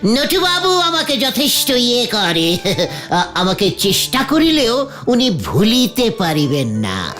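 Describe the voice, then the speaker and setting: A woman plays a boastful cartoon village layabout who rules his gang of idle boys. The voice is high and very animated, taking its time with pompous, stilted formal phrases, with music playing behind. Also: slow, exaggerated voice. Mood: gleeful, boastful. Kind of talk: exaggerated comic cartoon acting.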